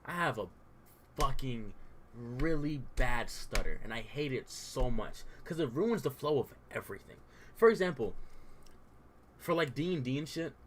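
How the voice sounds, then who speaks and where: A young man who stutters at times, talking candidly in a loose, informal way and saying "bro" and "like" over and over, as a streamer and dungeon master. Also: medium pitch. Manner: informal, says "bro" and "like" a lot. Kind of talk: casual heartfelt rant on stream.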